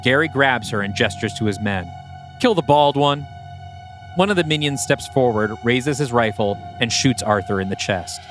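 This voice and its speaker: Man narrating an audio play, mid pitch. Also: lively intonation, moderate pace, few pauses, fairly bright tone, faint music behind. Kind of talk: scripted audio play narration, present tense.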